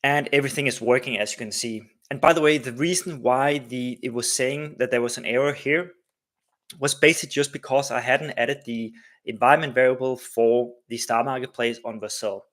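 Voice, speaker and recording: Guy rambling through a live coding stream, full of uhs and ums, medium pitch. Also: fairly even intonation, brisk, fairly bright tone. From Denmark. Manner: rambling, many fillers and restarts. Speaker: livestream host, developer.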